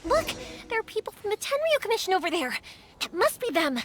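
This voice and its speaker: A woman's voice: very high voice, big pitch swings, brisk, a little hiss or hum. A woman voicing a spirited, kindly young woman in a video game. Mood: urgent, exasperated. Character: spirited, kindly young woman who looks after children.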